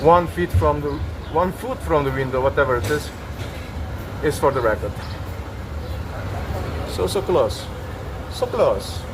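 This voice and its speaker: A man's voice: fairly high pitch, animated, measured pace, long pauses, background noise. A man who speaks English as a second language, filming police outdoors on a city street and persistently repeating polite but insistent demands. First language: not English. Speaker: citizen auditor filming police. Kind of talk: confrontational street recording.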